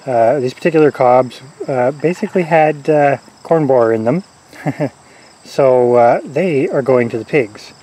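A man in his forties, a homesteader talking casually to a handheld camera outdoors, relaxed and rambling with many fillers and chuckles. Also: mid-range voice, measured pace, poor audio. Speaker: homesteader vlogger. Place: outdoors in a corn garden. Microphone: camera-mounted mic at arm's length. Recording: faint outdoor insect noise. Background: crickets and insects.

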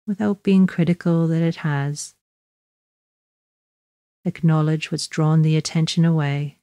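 A woman guiding a body scan meditation in a slow, measured, gently instructive way, leaving long pauses. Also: low-pitched, no echo, studio quality. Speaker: mindfulness meditation guide.

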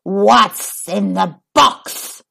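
A woman voicing a sly, hungry male wolf with a crackly growl in a children's storybook, drawing out the words slowly. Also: mid-range voice, gravelly, sharp tone, slightly rough, voice pushed down, heavily acted. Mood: sly menacing. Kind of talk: children's storybook read-aloud.

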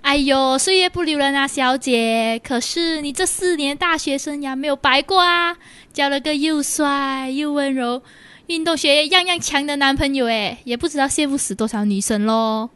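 Chatty, high-pitched student best friend woman acting. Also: normal speed, fairly bright tone, dry recording. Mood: teasing, mock-envious. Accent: Malaysian Chinese. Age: young adult. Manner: exclamatory, colloquial, mock-complaining. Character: chatty, teasing young female student, loyal best friend. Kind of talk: radio drama acting.

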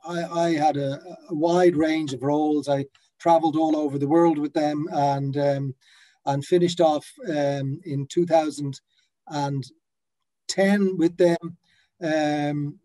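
Mellow-voiced Dutch-raised man in his fifties. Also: fairly high pitch. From Netherlands. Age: 50s. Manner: hesitant, many fillers and restarts. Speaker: brewery founder, guest. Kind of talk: video call interview. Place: office with a brand banner behind. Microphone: laptop webcam on a video call.

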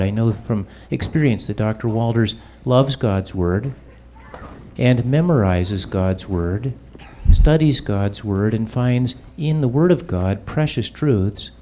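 A middle-aged man, a pastor, introducing a guest speaker in a church hall, unhurried and pausing with fillers, holding a handheld mic. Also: lowish voice, warm tone, a little hiss or hum.

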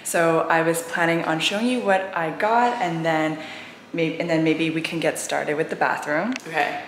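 A woman's voice: deep voice, steady tone, moderate pace, slight background noise. A woman in her thirties chatting casually to camera as a vlogger, thinking aloud as she does tasks around an empty apartment. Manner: informal, thinking aloud while doing tasks. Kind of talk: casual vlog chat. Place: empty apartment and small tiled bathroom, indoors. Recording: echoey empty rooms, background music.

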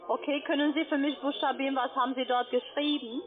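A woman with a foreign accent works as a call centre agent. Her fairly high voice comes down a thin phone line. A clearly audible crowd chatters behind her. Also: steady tone, fast talker. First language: not German. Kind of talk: phone sales conversation.

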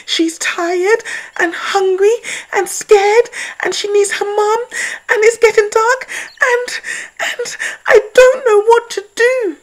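A woman voicing a grumpy, easily exasperated little male mouse who grumbles and squeaks in a children's storybook. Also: steady tone, slow, low-quality recording. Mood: tearful, panicked. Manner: grumbling, spluttering, squeaky when upset.

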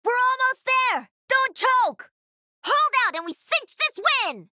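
An actress playing a cocky, playful young pro-gamer mech pilot in a video game, with peppy, punchy delivery. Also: female voice, extremely high pitch, sing-song, slow, pushed and bright, no echo, clean studio sound, heavily acted. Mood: urgent, excited. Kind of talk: video game character voice lines.

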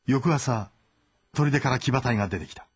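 A man's voice: mid-range voice, moderate pace, slight background noise. A man delivering dramatic scripted narration of a story as its narrator. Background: loud music.

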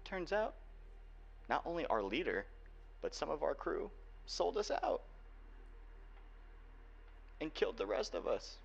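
A young man playing a guarded, protective cyberpunk hacker boyfriend in an ASMR roleplay, speaking earnestly and haltingly. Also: a little high, very animated, gravelly, husky, exaggerated voice. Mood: bitter, angry. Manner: earnest, halting delivery with sighs. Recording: dull lo-fi home recording, faint noise.

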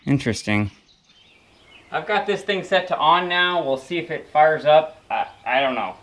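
A man's voice: fairly high pitch, expressive, moderate pace, a bit bright. A man in his thirties narrating casually to camera while working on an old machine in a barn, muttering to himself as he goes. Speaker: restoration vlogger. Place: open-sided metal barn.

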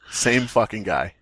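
A man's voice: on the low side, sharp tone, slightly rough. A man hosting a comedy podcast, reading aloud and riffing with sarcastic, profane, joking commentary. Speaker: podcast host.

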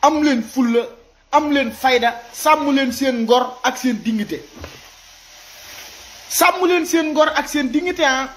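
A man in his thirties with a Senegalese accent giving impassioned, informal video commentary, animated and repeating his points as he exhorts listeners. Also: very high voice, takes it slowly, pauses often, slight background noise, poor audio. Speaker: social media commentator. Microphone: phone at arm's length.

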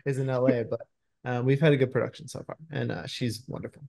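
A man's voice: medium pitch, steady tone, fast talker, vocal fry, a little mellow. A man in his thirties talking casually as a screenwriter guest in an interview on a video call. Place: room at home, indoors.

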